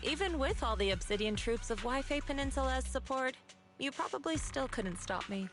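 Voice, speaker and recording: A woman's voice: sits high, animated, moderate pace, soft background music. An actress playing a proud, protective female temple master in a video game, composed and authoritative. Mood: proud, firm.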